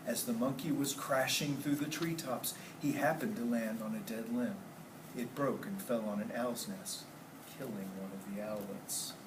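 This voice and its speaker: A man's voice: medium pitch, fairly even intonation, moderate pace. A man teaching a class, reading a story aloud expressively and putting questions to the children. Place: indoor classroom. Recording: roomy, noisy classroom, distant mic.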